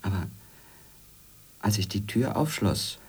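A man playing a haunted, bitter, obsessive former editor in a radio drama, brooding in halting literary monologue that often sinks toward a whisper. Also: lowish voice, big pitch swings, darkish tone, slightly rough, full character voice. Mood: bitter, anguished.

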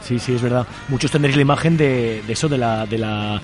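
A man's voice: mid-range voice, a little fast, darkish tone. A man with a Spanish accent from Spain, hosting a casual radio interview in a colloquial, jokey way. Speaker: radio show host.

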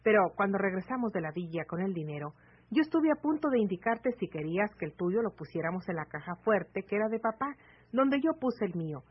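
Steady, reassuring Mexican woman friend, radio serial style, measured and serious, husky edge, dull vintage recording. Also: medium pitch. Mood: calm, considerate. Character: composed, caring woman advising a close friend. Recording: muffled, lo-fi old AM radio recording.

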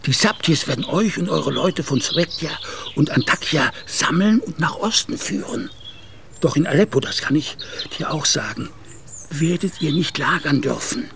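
A furtive, anxious gendarme, noisy background. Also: male voice, a little high, lively intonation, creaky. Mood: furtive, fearful. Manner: furtive, pleading, halting delivery. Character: anxious, aggrieved Turkish gendarme betraying his orders. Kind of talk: radio drama acting.

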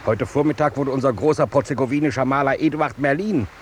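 A man's voice: mid-range voice, fast talker, close to an everyday voice. Man playing a pompous, officious army captain. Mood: pompous indignation. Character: zealous male army captain, stiff, officious, patriotic. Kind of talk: 1950s German radio play acting. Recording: noisy 1950s radio drama recording.

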